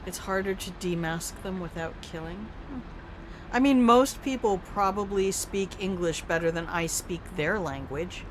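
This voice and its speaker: An older woman with a somewhat low voice talks through a video game in a relaxed, rambling way, reacting aloud and wandering off into personal stories. Her is Afrikaans. A faint hiss of background noise sits under the recording. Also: a little slow. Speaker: gaming streamer. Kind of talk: casual gameplay commentary.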